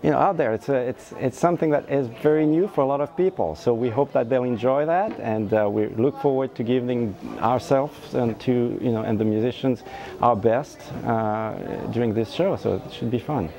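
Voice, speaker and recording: A middle-aged man from Switzerland, a composer, talking haltingly in an interview with many fillers and restarts. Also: mid-range voice, mellow. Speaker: composer and conductor. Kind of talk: interview at a rehearsal.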